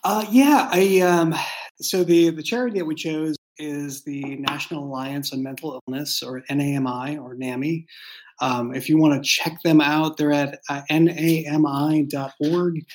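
Thoughtful, halting man chatting casually. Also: on the high side, rough recording. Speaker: creator and writer of an audio drama, as guest. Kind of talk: casual behind-the-scenes chat.

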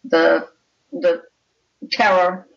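Older female, opinionated guest author in relaxed conversation; dulled, low-fidelity audio. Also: extremely slow, vocal fry. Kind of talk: conversational interview talk on writing. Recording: dull, lo-fi connection.

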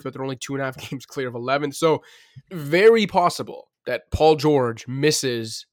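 A man hosting a sports podcast, talking quickly and casually, thinking aloud with plenty of fillers. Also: a little high, no room sound, clean studio sound. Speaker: podcast host.

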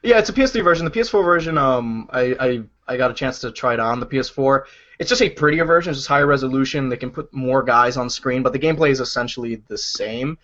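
A man's voice: medium pitch, fast talker, a bit bright. A man co-hosting a casual podcast, reviewing games in a rambling way, with lots of "like" and "you know". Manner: rambling, lots of fillers and restarts, laughs easily. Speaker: podcast co-host, game reviewer. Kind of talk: casual chat. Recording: dull lo-fi podcast audio.

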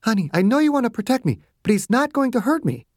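A narrator voicing a brisk woman doctor. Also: medium pitch, very animated, a little mellow, no echo, studio quality, pitched above the performer's own voice. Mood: firm. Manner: brisk, firm. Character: woman doctor, confident and no-nonsense.

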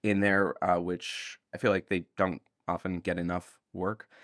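A man's voice: deep voice, little pitch movement, unhurried, a bit bright, dry recording, studio quality. A man being interviewed as an audio drama creator, chatting casually in a rambling, jokey way that often interrupts itself. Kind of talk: casual, animated interview chat.

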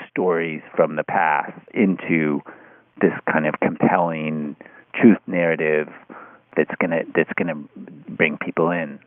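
A man's voice: low-pitched, no room sound. A man in a casual, analytical discussion, speaking in hesitant, circling, heavily hedged phrases. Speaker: podcast discussion participant. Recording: muffled phone-like line.

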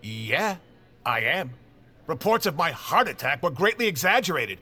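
An actor playing a blustery, mocking boss with a brash, needling manner in an audio drama. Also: male voice, on the high side, very animated, moderate pace, pushed and bright, full character voice. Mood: blustering. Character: blustery, mocking male boss, a family man.

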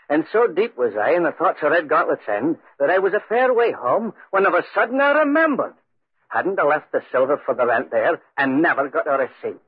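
An actor playing a plain-spoken, cautious Scottish tenant who narrates an eerie tale in a 1940s radio drama, husky-voiced. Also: male voice, medium pitch, dry recording. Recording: tinny, dry 1940s radio recording.

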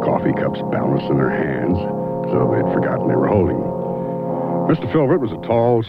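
A man's voice: a bass voice, vocal fry, heavily acted. An actor playing a wry, teasing male private detective in a 1970s radio drama, talking in a folksy, hesitant way. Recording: muffled old radio broadcast, loud music behind.

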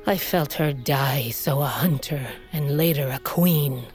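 A proud, battle-hardened queen and mother in a fantasy audio drama. Her voice sits on the low side and moves slowly, grave and commanding. Soft music plays faintly behind her. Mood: grim, boastful. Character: proud, hardened older warrior queen and mother of wolf tribe. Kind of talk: audio fiction drama acting.

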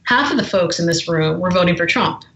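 A woman's voice: fairly low pitch, lively intonation, fairly quick, fairly bright tone, roomy sound, highs cut off. A woman guest who is an author, speaking in a forthright, indignant way and reading from her memoir. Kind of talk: reading aloud from her memoir, then conversation.

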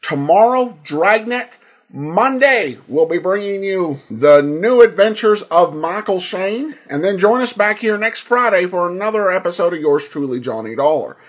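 An American man hosting a podcast with informal commentary, hesitant and full of filled pauses. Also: high voice, lively intonation. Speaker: podcast host.

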